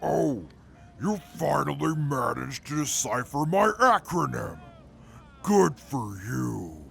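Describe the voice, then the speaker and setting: Very gravelly, pompous soldier. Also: male voice, medium pitch, expressive, slow pace, a bit bright, heavily acted. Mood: disdainful. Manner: pompous, grandiose, condescending. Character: pompous, gruff, haughty male wasteland soldier. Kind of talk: post-apocalyptic radio drama acting.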